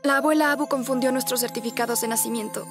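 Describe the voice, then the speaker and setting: A woman's voice: sits high, fairly even intonation, fast, natural voice. A woman playing a reluctant, secretive teenage rebel in a cartoon dub, hesitant and earnest, with a Latin American Spanish accent. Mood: confessing. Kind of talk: animated cartoon dub acting. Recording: loud music behind the voice.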